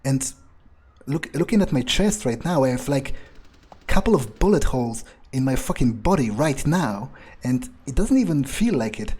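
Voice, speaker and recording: Man roleplaying a husky, jaded fledgling vampire. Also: mid-range voice, very animated, a little mellow. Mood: dazed musing. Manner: halting, muttering, sardonic. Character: jaded, foul-mouthed criminal turned fledgling male vampire. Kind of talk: improvised in-character game roleplay.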